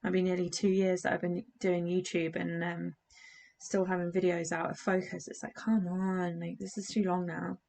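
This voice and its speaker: A woman's voice: on the low side, flat delivery, moderate pace, gravelly, darkish tone. A young English woman with an English accent, chatting casually and rambling with fillers while she works on a craft project at her desk. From England. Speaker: doll customizing vlogger.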